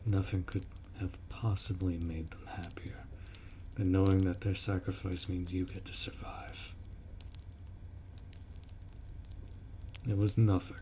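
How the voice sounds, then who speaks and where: A man playing a gruff, dutiful orc warrior in a fantasy roleplay audio drama, blunt and protective as he speaks to the listener. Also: deep voice, little pitch movement, moderate pace, dark tone, a little hiss or hum, lightly acted, rough recording. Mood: solemn, reassuring.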